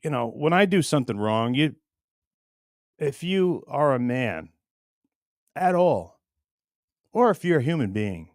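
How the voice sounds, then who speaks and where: A middle-aged man hosting a livestream with casual, rambling chat, blunt and crude in his joking, going between muttering and loud bursts. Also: medium pitch, sing-song, unhurried, pauses often, no echo, studio quality. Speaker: livestream show host.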